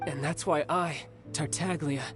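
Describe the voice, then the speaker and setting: A man's voice: fairly high pitch, fairly even intonation, a little slow, natural voice. A man playing a cocky, teasing young warrior. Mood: wry. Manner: smooth, teasing, self-assured. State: worn out from injury. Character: cocky young male warrior, playful and proud. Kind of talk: video game voice acting. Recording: game audio with music behind.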